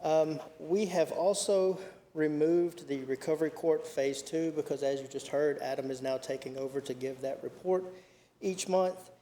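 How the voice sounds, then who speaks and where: A man reads out a report at a county committee meeting. He speaks haltingly and full of fillers, in a rather high but mellow voice. The sound carries a long hall reverb. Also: steady tone, a little hiss or hum. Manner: halting, many fillers and restarts. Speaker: county official giving a report.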